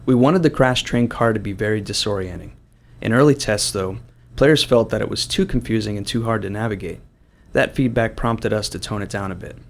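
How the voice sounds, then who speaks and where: A man's voice: fairly low pitch, a little fast, vocal fry. A man speaking as a game developer on a commentary track.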